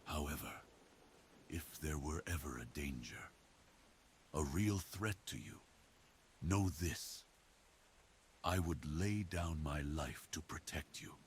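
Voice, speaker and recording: An exceptionally deep, slow-speaking male werewolf boyfriend, acted by a man in an ASMR roleplay. He is devoted and protective, choosing his words with earnest, reassuring care. Faint noise sits behind the intimate monologue.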